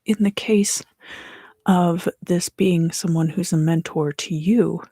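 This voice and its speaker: A woman speaks very slowly in a soft ASMR style, often slipping into a whisper. Her voice is breathy and fairly low, with a heavy creak at the ends. She reads tarot gently, pausing between short phrases. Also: steady tone, dry recording, clean studio sound. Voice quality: breathy, hushed. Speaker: tarot reader hosting an ASMR video. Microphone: close microphone.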